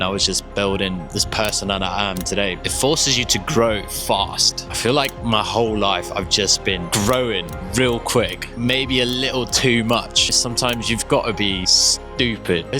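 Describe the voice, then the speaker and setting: A young man from South Africa with a South African accent, reflecting candidly on his life in a casual personal vlog, with a slight stutter and the odd swear word. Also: medium pitch. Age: young adult. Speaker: vlogger.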